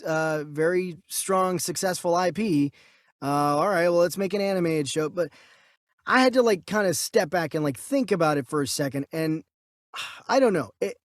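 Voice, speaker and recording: A woman's voice: on the low side, no echo. Dry-sounding woman chatting as podcast co-host. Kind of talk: casual movie news discussion.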